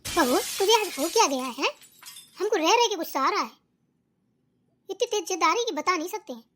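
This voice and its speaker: A woman voicing a scolding, suspicious elderly mother-in-law in a family cartoon, nagging and sharp-tongued. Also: very high voice, animated, normal speed, slight background noise. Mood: angry, domineering. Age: older. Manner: nagging, sharp-tongued, peppered with challenging tag questions. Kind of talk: Hindi family cartoon acting.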